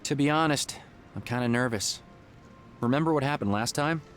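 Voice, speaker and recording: A man's voice: mid-range voice, normal speed, soft background music, lightly acted. An actor playing an earnest, slightly nervous young male inventor in an adventure game, boyish and often musing aloud. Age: young adult.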